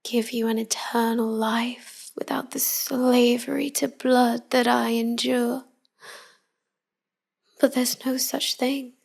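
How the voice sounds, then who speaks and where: Hushed, breathy vampire wife, played by a woman. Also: fairly high pitch, exaggerated voice. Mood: loving, wistful. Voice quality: soft, breathy, often whispering. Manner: slow, hushed, confiding. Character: tender, melancholy vampire wife devoted to her human lover. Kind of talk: intimate whispered pillow-talk roleplay audio.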